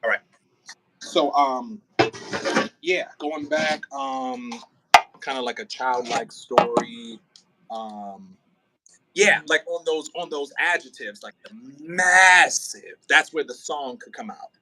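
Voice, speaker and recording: A man's voice: a little high, sing-song, takes it slowly, very noisy. A man directing an audio play rehearsal, casually coaching an actor and expressively demonstrating lines. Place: indoors near a window, on a remote call.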